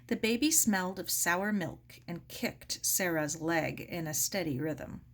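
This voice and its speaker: A woman reading a children's story aloud as expressive scripted narration. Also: on the low side, a little slow, slight background noise. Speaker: storybook reader.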